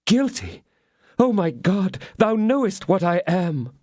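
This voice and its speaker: A man's voice: sits high, lively intonation, unhurried, dark tone, no echo, studio quality, pitched above the performer's own voice. A man voicing a scheming woman prisoner who pleads and prays in feigned, sobbing despair. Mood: pleading.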